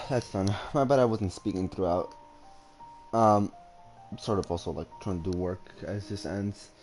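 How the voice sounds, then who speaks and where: A man making casual, halting remarks over gameplay as a video creator. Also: on the low side, darkish tone, faint music behind.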